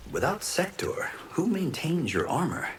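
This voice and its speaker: An actor voicing an earnest, humble young champion in a fighting game, reserved in manner. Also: male voice, on the high side, sing-song, a little slow, slight background noise. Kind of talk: scripted fighting game dialogue.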